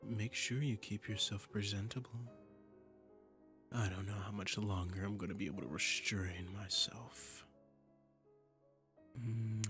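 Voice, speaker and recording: Man voicing a slow, silky, imperious demon prince. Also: lowish voice, creaky, a bit bright, exaggerated voice. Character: seductive, commanding male demon prince claiming a captive singer. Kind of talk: romantic roleplay audio drama.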